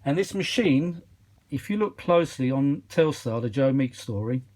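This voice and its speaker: A man with a mid-pitched voice talks casually as the presenter of his own hobby video. He is chatty and self-deprecating, rambling along and often hunting for the right word. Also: lively intonation, moderate pace, a little mellow. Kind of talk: rambling casual demonstration vlog. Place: small cluttered kitchen used as a workshop.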